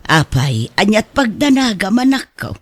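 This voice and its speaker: An animated, doting teasing parent. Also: male voice, high voice, measured pace, no room sound, full character voice. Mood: gently scolding. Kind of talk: Ilocano radio drama acting.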